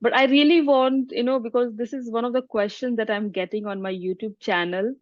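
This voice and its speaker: A woman's voice: on the high side, steady tone, brisk, no room sound, muffled recording. A woman from India, hosting an interview in a friendly manner with some hesitant fillers. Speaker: YouTube host, interviewer.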